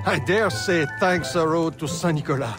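A man's voice: on the high side, normal speed, lightly acted. An actor playing a fussy, affectionate old merchant father in a video game, courtly and wordy. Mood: grateful, courtly. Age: older. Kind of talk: video game cutscene acting. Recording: music behind the voice.

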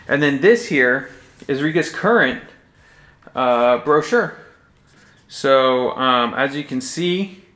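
An American man in his forties from Texas giving a casual, halting but enthusiastic product overview to camera. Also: medium pitch, bright, forceful tone. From Texas, United States. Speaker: audio shop presenter. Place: small indoor room, standing behind display table. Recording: roomy echo, faint music.

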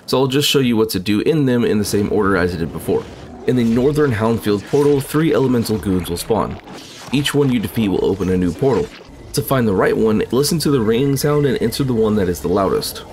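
A man's voice: fairly low pitch, darkish tone, slight background noise. A man reading a scripted video game walkthrough in a plain, instructional way. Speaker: gaming guide narrator. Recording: faint game ambience behind voice-over.

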